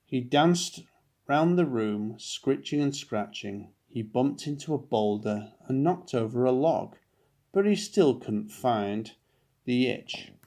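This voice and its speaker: A man narrating a children's picture book as a dad reading aloud, with expressive, deliberate phrasing. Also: mid-range voice.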